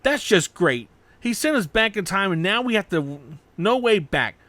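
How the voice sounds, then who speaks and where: Male reader playing anxious young swordsman, stammery, higher pitch. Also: big pitch swings, normal speed, a bit bright, no room sound. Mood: panicked. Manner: anxious, stuttering when scared.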